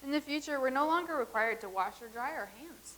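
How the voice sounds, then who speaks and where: A woman acting in a staged comedy reading as a calm, formal, peace-keeping traveler from the far future. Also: high voice, fairly quick. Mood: matter-of-fact.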